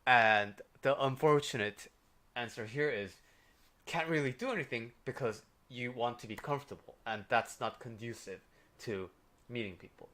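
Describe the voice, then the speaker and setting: A man's voice: medium pitch, sharp tone. A man with a Korean accent, a life coach giving casual, conversational advice on a livestream, with many fillers and "right?" tags.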